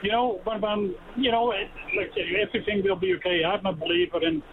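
A man's voice: high-pitched, steady tone, fast. A man from the Netherlands with a Dutch accent, calling in to a radio show and speaking earnestly and hesitantly. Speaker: caller. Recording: tinny phone line with noise.

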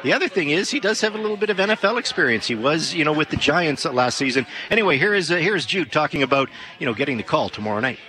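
A man's voice: fairly high pitch, animated, fast talker, few pauses, bright, forceful tone, slight background noise. A man hosting a sports talk show, chatty and jokey, restarting his sentences as he explains the team news. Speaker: sports radio host.

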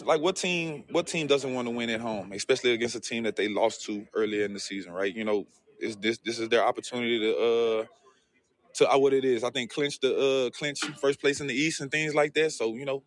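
A man's voice: mid-range voice, a little fast. A man who plays football, answering interview questions in a loose, rambling way full of fillers and restarts. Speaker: football player.

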